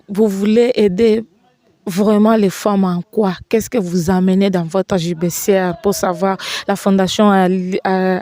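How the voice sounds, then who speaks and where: A woman's voice: medium pitch, warm tone, no room sound. A woman hosting a TV interview in a studio, asking formal, wordy questions with many fillers. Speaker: TV host. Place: TV studio set.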